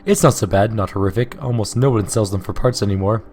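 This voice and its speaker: A hoarse man voicing a hard-nosed female slaver who speaks curtly and with contempt. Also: on the low side, fast, darkish tone, slight background noise, close to an everyday voice. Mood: dismissive. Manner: curt, contemptuous. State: hoarse from illness.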